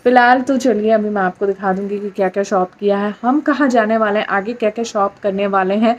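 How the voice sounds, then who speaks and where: A woman from India chats casually to her viewers as a vlogger, mixing English words into her talk. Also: medium pitch.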